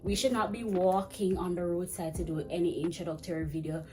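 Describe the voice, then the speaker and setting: A young woman giving chatty, fast-paced teaching advice to camera in a small indoor room. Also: fairly low pitch, a little hiss or hum. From Jamaica. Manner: chatty, fast-talking, animated with hand gestures. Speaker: vlogger and ESL teacher. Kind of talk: casual advice vlog. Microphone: camera framing head to chest.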